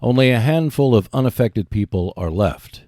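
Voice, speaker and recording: A man's voice: medium pitch, expressive, moderate pace, mellow, no echo, clean studio sound. A man hosting a podcast, reading scripted narration.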